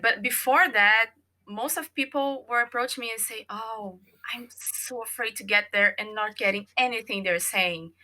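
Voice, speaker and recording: A Brazilian woman speaks English with a clear Brazilian Portuguese accent. Her voice sits fairly high and sounds bright and forceful. She is a native Portuguese speaker and former English teacher, and she works her way through each thought, often pausing to find the right word. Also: poor audio. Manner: thoughtful, searching for words. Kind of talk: casual interview chat.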